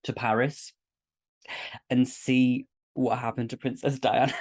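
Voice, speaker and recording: A woman's voice: rumbling low, flat delivery, measured pace, a little mellow, no room sound. A woman chatting casually in a gushing, slangy way as an amateur cast member. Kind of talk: casual chat.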